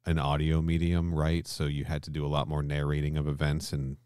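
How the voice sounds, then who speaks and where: A man's voice: a bass voice, monotone, a little fast, darkish tone, smooth, no room sound, clean studio sound. A man co-hosting a podcast in casual discussion, thinking aloud and often circling back to rephrase his opinions. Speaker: podcast host. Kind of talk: casual discussion between co-hosts.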